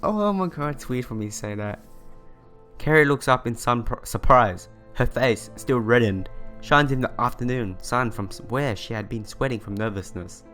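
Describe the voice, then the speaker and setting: A young man with a mid-pitched voice hosts a let's play. He reads and comments in an earnest, informal way, with game music faintly behind him. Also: animated, normal speed, fairly bright tone. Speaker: let's player. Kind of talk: reading a visual novel aloud with casual commentary.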